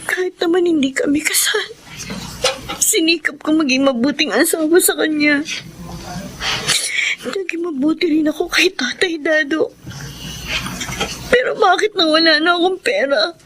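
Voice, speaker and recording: An actress playing a betrayed, long-suffering wife in a Filipino radio drama, with a plaintive, pleading manner and Filipino accent. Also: female voice, extremely high pitch, measured pace, long pauses, noisy background. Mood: tearful pleading.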